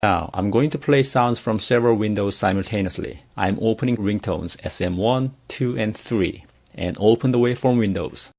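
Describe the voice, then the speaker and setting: Male tutorial narrator, lowish pitch, plain step-by-step screencast explanation. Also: normal speed, creaky, darkish tone.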